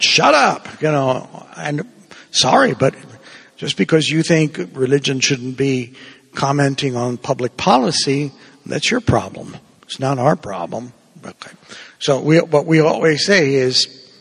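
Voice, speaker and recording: A man from Cuba preaching a homily in an unhurried, meandering way, with a gravelly, husky voice. His words ring out with a long echo through the church. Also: fairly high pitch, fairly bright tone. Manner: conversational, digressive preaching with asides.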